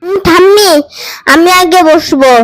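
A very high, childlike voice put on by an actor for a greedy, playful little kid in a doll cartoon. The delivery is gleeful and exclaiming, at an unhurried pace. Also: a bit bright, no echo, poor audio. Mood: gleeful, eager. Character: excited sweet-loving little grandchild, playful and greedy. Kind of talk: children's doll-story voice acting.

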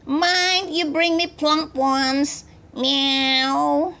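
A woman's voice: sits high, little pitch movement, extremely slow, pushed and bright, pitched above the performer's own voice, full character voice. A woman voicing a cross, cruel old mother cat in a storybook, bossy, growling and meowing.

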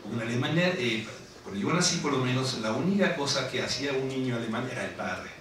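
A man's voice: medium pitch, fast. Middle-aged German-accented man, author on a panel, chatty anecdotes, distant hall recording. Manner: animated storytelling, laughing, frequent tag questions. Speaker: author at a book presentation. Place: large lecture hall. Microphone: table microphone on stand. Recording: echoey hall from far off with noise.

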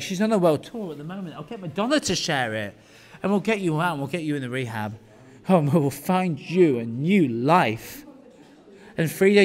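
A man, sassy and dramatic, vlogging at an outdoor zoo into a phone held close. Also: a little high. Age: 20s. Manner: sassy, dramatic, talks to animals and camera. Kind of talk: camp comedic vlog monologue. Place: outdoor zoo, among animal enclosures. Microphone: handheld phone held close.

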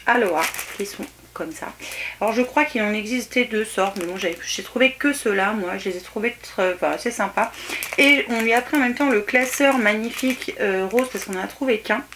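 A woman's voice: fairly high pitch, noisy background. A woman in her thirties from France, chatting through a shopping haul at home, hesitant with many fillers and enthusiastic. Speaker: haul vlogger. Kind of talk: casual show-and-tell haul. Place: small indoor bedroom set.

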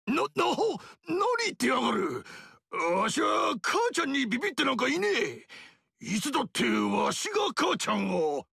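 A gravelly, blustering barbarian king. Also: extremely high pitch, sing-song, normal speed, pushed and bright, no echo, clean studio sound, exaggerated voice. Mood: flustered. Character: boisterous burly male barbarian king, proud. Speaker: warlord character. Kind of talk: video game character dialogue.

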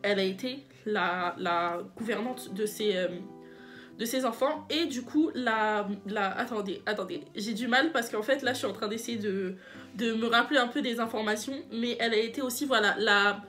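A woman's voice: on the high side, normal speed, fairly bright tone. Young female book vlogger, stuffy cold voice, eager and repetitive, light music bed. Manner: enthusiastic, rambling, repeats herself. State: congested from a cold. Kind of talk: casual book review vlog.

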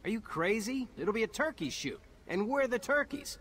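A man's voice: high-pitched, lively intonation, moderate pace, fairly bright tone, a little hiss or hum. An actor playing a wisecracking young male soldier hero who answers with sarcastic quips. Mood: wry. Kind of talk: video game voice acting.

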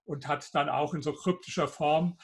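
A man's voice: fairly high pitch, fairly even intonation, normal speed, slightly rough. An older man with a Hessian German accent, speaking as a guest on a video call interview with many fillers and an argumentative manner. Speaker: guest author. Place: home office with bookshelves, indoors.

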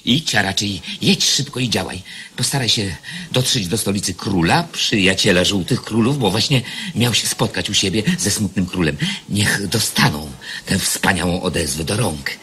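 A man's voice: deep voice, normal speed, bright, forceful tone, slight background noise. An actor playing a sly, domineering enemy king in a radio drama, with a mocking, commanding, theatrical delivery. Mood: gleeful, commanding.

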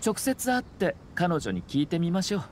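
A man voicing a composed young nobleman in an anime game, speaking in a measured, formal, polite way over faint background ambience. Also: sits high, very animated, slight background noise. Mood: calm, assured. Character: composed, courteous young nobleman and clan commissioner.

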